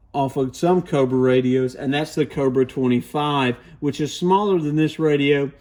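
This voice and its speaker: A man with a medium-pitched voice walks through a product for beginners. He speaks informally and plainly, explaining each point in the casual style of a hands-on reviewer. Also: mellow. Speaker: radio shop product reviewer, presenter.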